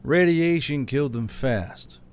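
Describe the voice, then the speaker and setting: A man's voice: a little high, measured pace, a little mellow, clear, resonant tone. A man narrating a fiction audiobook from a script.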